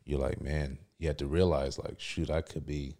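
A man's voice: a bass voice, level tone, moderate pace, warm tone. A man hosting a casual chat, mostly chiming in with short agreeing words and laughs. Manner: supportive, constant short agreeing backchannels. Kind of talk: casual conversation.

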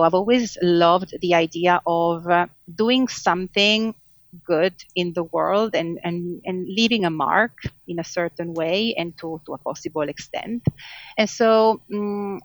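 Low-pitched Italian woman, dry close sound. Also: no room sound. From Italy. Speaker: podcast guest, marketing manager. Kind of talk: interview answers, conversational. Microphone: video call.

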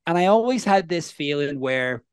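A man in his thirties with a Northern Irish accent, telling his story as a coaching guest in an earnest, energetic way. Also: on the high side, normal speed, clear, resonant tone, no room sound, clean studio sound. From Northern Ireland. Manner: energetic, earnest, asks the listener questions. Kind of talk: casual interview storytelling.

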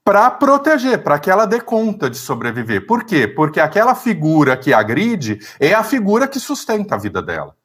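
Therapist guy talking it through, lots of "é" and "né", pitch all over the place. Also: wildly swooping pitch, normal speed, hardly any pauses, fairly bright tone, breathy edge. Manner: thoughtful, searching for words, frequent fillers. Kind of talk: conversational explanation.

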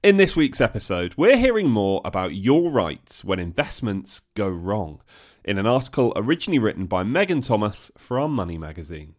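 British male podcast host, very lively intonation. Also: fairly low pitch, moderate pace. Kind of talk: scripted podcast introduction.

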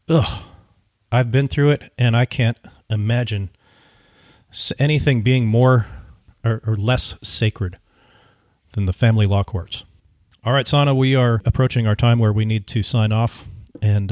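A man co-hosting a podcast in casual conversation, speaking in halting, searching phrases. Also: mid-range voice, no echo, studio quality. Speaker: podcast co-host.

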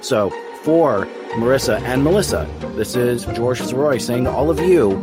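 A man's voice: medium pitch, moderate pace, loud music behind the voice. A man hosting an interview podcast, speaking in an effusive, appreciative way. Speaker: podcast host and producer.

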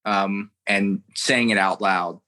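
Bright-voiced American impressionist, low-pitched man. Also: fairly even intonation, slow. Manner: earnest, rambling, repeats words. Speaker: impressionist guest. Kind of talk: interview storytelling.

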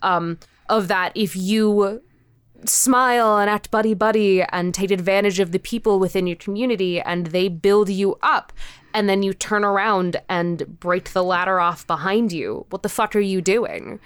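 A woman's voice: mid-range voice, moderate pace, smooth, dry recording, studio quality. A woman speaking as a podcast guest in a casual interview chat, candid and informal, swearing freely. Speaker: podcast guest, audio drama creator.

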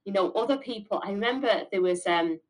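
A woman's voice: mid-range voice, fairly quick, poor audio. A middle-aged woman with a Mancunian accent, a business owner answering interview questions candidly and stressing points by repeating words. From north of England.

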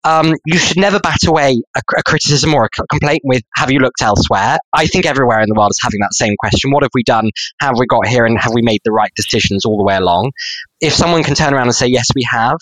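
A man's voice: mid-range voice, quick pace, fairly bright tone, no echo, rough recording. A middle-aged man giving his opinion as an expert guest, reflective and fond of rhetorical questions. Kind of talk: opinion in panel discussion.

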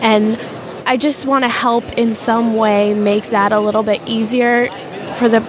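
A woman's voice: a little high, little pitch movement, unhurried. A young premed woman speaking earnestly and heartfelt in a vox pop interview. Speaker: premed student. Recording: outdoor event with crowd chatter. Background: crowd chatter.

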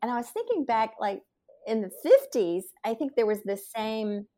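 A woman's voice: on the high side, sing-song, normal speed, mellow. A woman in her sixties hosting an interview, chatting and reminiscing casually with many fillers. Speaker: podcast host.

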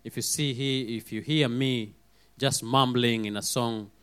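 A man with a hoarse voice preaching a sermon, calling out for amens and acting out little quoted voices as he goes. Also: medium pitch, unhurried. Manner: lively, calls for amens, acts out quoted voices.

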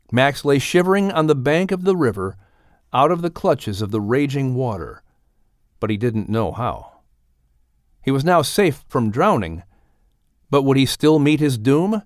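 Slow-paced male storyteller, dry sound. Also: medium pitch, no echo. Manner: measured, suspenseful, expressive. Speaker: audiobook narrator. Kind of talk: dramatic scripted story narration.

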